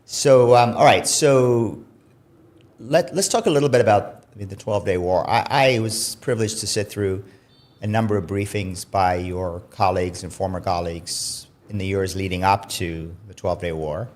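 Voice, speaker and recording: A man's voice: low voice, moderate pace, darkish tone. A man hosting an interview, asking long questions with frequent repeated words. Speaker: podcast host, interviewer.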